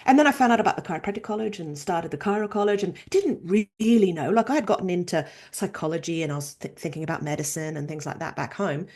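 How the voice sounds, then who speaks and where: A woman in her fifties from Norway, a chiropractor and researcher telling her story in a casual, animated interview. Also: on the low side, quick pace, hardly any pauses. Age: 50s. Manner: animated storytelling, acts out quoted voices.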